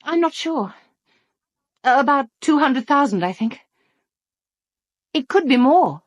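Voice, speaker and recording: An actress playing a sharp, scheming, outspoken British woman with a brisk sardonic manner in a radio drama. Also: high voice, expressive, dry recording, studio quality. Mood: scheming, persuasive. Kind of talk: full-cast radio drama acting.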